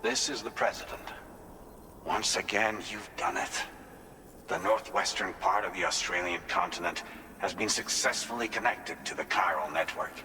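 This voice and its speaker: Man acting low, stately President in a hall. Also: music in the background, natural voice. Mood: stately, approving. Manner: measured, formal, stately. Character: calm, formal male President congratulating a courier. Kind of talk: scripted video game cutscene dialogue. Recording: long hall reverb, faint noise.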